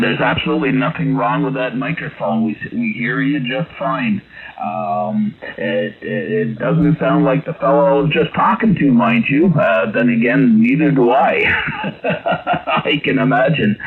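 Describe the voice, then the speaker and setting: A man chatting casually as an amateur radio operator, speaking haltingly with drawn-out pauses, heard over a radio link. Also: medium pitch, huge pitch swings. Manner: halting, hesitant delivery with many drawn-out pauses. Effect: received over shortwave radio. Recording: narrow-band radio signal, faint static.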